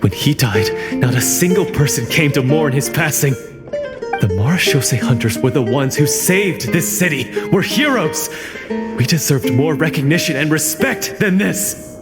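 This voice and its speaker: A bitter, betraying hunter from a video game, speaks with dramatic, wounded pride. His voice rings in a long reverb over loud guitar music. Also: a little high, animated, unhurried. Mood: bitter, aggrieved. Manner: dramatic, resentful declaiming. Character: bitter, proud male hunter guard turned betrayer.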